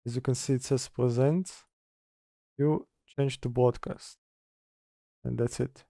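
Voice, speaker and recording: A man presenting an informal software tutorial, halting as he reads steps from notes and mutters through them. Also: medium pitch, fairly even intonation, gravelly, no room sound, clean studio sound. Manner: halting, mutters step by step while working. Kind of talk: informal screen-recorded software tutorial, partly read from notes.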